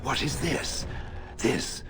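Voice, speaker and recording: An actor voicing a haughty, sardonic ancient stone golem in a video game, with stilted, condescending, deliberate phrasing. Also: male voice, over music, lightly acted. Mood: awed recollection. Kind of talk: dramatic video game acting.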